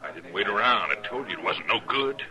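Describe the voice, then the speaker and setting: Man playing a rapid-fire, jittery outlaw. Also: on the low side, animated, crowd noise, close to an everyday voice, low-quality recording. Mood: urgent. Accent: rural American. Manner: agitated, insistent. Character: nervy, urgent, whining outlaw man. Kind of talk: old-time radio western acting.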